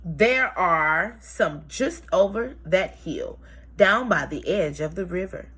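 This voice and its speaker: Woman as a smooth-talking, husky storybook wolf. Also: on the low side, expressive, takes it slowly, fairly bright tone, exaggerated voice. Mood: coaxing. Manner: smooth-talking, mock-polite. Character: sly, greedy male wolf with polite manners. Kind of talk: children's storybook read-aloud.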